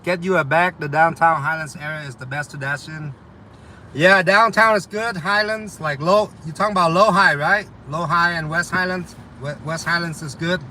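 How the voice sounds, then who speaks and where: A man's voice: fairly high pitch, steady tone, normal speed, sharp tone, slight background noise. A young man with a slight Vietnamese accent, chatting casually with live viewers about delivery driving in a loose, rambling way full of ums and uhs. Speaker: delivery driver streaming to viewers. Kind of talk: casual live chat reading viewer comments.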